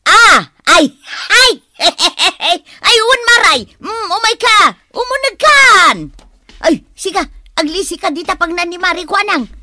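An actress playing a brash, gossipy village woman who dodges her debts, loud and exclaiming, in a radio comedy drama. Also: very high voice, expressive, slow, slight background noise, heavily acted. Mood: excited.

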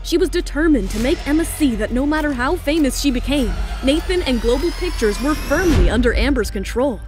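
High-voiced woman narrating an audio drama. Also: lively intonation, brisk, darkish tone. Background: music bed.

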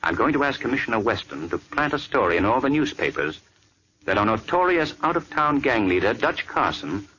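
An actor playing a calm, confident gentleman crime-fighter with a smooth, assured delivery, in 1940s radio acting. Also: mid-range voice, fairly quick, dull audio. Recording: old radio recording with faint hiss.

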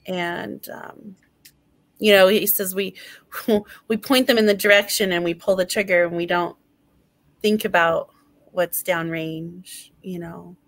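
A woman hosting an online poetry show over a video call, speaking in a measured way with frequent fillers. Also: lowish voice, steady tone, no room sound. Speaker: host. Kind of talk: casual chat.

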